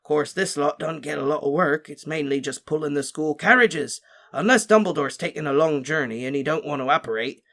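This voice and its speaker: A man voicing a huge, kindly male gamekeeper who talks in a hearty, rough country dialect. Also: on the high side, brisk, a bit bright. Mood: cheerful.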